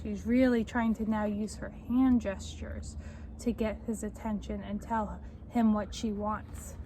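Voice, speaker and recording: A young woman reading a children's book aloud in a deliberate, expressive way, explaining and asking the listeners questions. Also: medium pitch. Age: young adult. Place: outdoors on a front step by a house door. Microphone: camera at a short distance. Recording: faint outdoor street noise.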